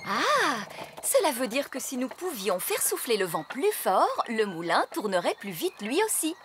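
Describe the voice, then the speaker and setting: A woman playing a prim, bossy and self-assured fairy nanny in a children's cartoon dub, speaking in a brisk, theatrical way. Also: fairly high pitch, wildly swooping pitch, a bit bright, a little hiss or hum. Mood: impatient, confident. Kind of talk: children's animated series dubbing.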